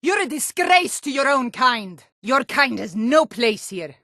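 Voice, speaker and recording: Fantasy game Nord townswoman: high-pitched, blunt, suspicious, hot-tempered, emphatic delivery, dry studio sound. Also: big pitch swings, unhurried, fairly bright tone, dry recording, heavily acted. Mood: hostile. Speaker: game character voice. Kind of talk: video game voice lines.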